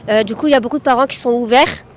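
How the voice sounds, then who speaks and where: A woman from France, a nursery temp, answers a street interviewer casually, with many fillers. Her voice sits a little high over a faint ambient hum. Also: racing.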